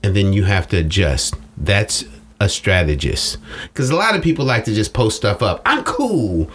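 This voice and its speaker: A man's voice: on the low side, very animated, moderate pace, a bit bright. A man talking casually as a strategist guest in an interview, explaining in colloquial phrases and coaching with rhetorical questions. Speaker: social media strategist guest. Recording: noticeable background noise.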